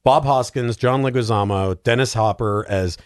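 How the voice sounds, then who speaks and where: A man's voice: mid-range voice, normal speed, dry recording, studio quality. A man chatting casually as a podcast guest about films, emphatic and given to comic exaggeration. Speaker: podcast guest, film commentator. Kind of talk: animated casual film banter.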